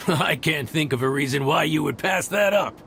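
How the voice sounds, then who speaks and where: A man's voice: a little high, moderate pace, vocal fry, fairly bright tone, a little hiss or hum. A man playing a smug, menacing male mercenary in a video game, taunting and theatrical. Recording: game audio with background music. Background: game music.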